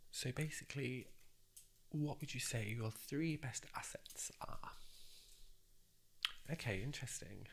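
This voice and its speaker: A man speaking softly and breathily in an ASMR job interview roleplay, gently encouraging and a little hesitant. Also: mid-range voice. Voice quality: soft, breathy voice with whispery moments. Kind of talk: soft-spoken ASMR roleplay.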